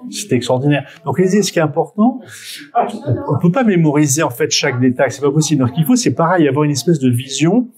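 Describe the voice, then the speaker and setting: A man's voice: fairly high pitch, lively intonation, quick pace, a little mellow. Middle-aged Frenchman reminiscing, hesitant and self-correcting. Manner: hesitant, self-correcting, with frequent word repetitions. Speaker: photographer being interviewed. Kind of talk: spontaneous interview answers, reminiscing.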